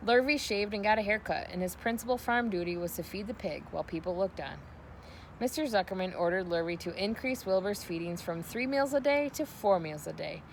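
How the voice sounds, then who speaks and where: A woman's voice: medium pitch, animated, a little fast, rough recording. Woman reading a storybook over noise. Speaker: read-aloud narrator. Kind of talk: storybook narration.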